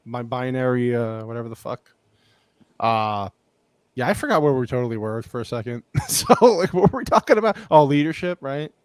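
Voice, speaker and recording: Animated man joking on a podcast. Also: mid-range voice, normal speed, smooth, dry recording. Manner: animated, rambling, profane. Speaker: podcast co-host. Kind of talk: casual joking podcast chat.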